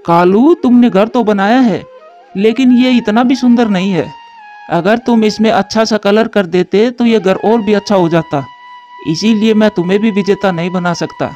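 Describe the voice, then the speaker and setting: A man voicing calm male birds in a children's cartoon, speaking in a measured, explanatory way. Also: high voice, natural voice. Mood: stately, measured. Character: calm male crow husband and stately eagle king. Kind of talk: Hindi children's cartoon dialogue. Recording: music behind the voice.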